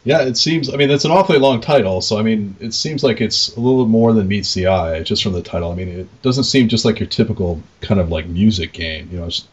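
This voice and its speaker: A man's voice: lowish voice, brisk, few pauses, breathy edge, muffled recording. A man hosting a casual podcast interview over an internet call, rambling tentatively and repeating himself as he talks.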